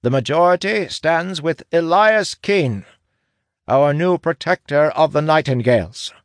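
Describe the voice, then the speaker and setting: A man's voice: fairly high pitch, expressive, measured pace, no echo, pitched above the performer's own voice. A man voicing a formal scribe who proclaims a vote to a gathering in a declamatory, projected voice, in a fantasy audiobook. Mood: formal, proclaiming.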